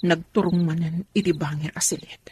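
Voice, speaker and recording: A woman's voice: fairly low pitch, steady tone, fairly quick, warm tone, a little hiss or hum, natural voice. A woman playing a long-suffering, anxious wife and mother in a radio drama, speaking earnestly and pleadingly.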